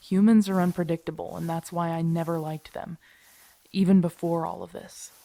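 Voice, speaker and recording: A woman's voice: lowish voice, flat delivery, moderate pace, creaky, dark tone, no room sound. A woman acting in an audio roleplay as a grumpy, protective zombie apocalypse survivor, blunt and sardonic, talking intimately to her companion. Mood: emphatic, cynical.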